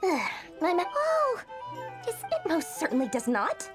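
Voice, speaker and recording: A woman voicing a cheeky, excitable young girl merchant in a video game, with bubbly, theatrical sales patter. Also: extremely high pitch, a little slow, full character voice. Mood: indignant, scheming. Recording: music behind the voice.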